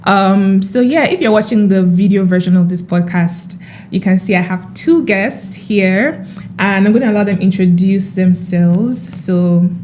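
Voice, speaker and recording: A young woman hosts a relaxed podcast with a bubbly, laughing manner. Her voice sits in a middle pitch. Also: a little slow, slight background noise. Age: young adult. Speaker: podcast host. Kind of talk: casual podcast chat.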